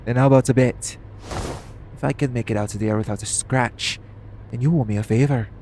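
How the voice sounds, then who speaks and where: A man's voice: medium pitch, steady tone, fairly quick, close to an everyday voice. A man playing a brash, foul-mouthed, cocky assassin in an audio roleplay. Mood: cocky, teasing. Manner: brash, sweary. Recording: clear vehicle noise behind voice. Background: vehicle ride ambience.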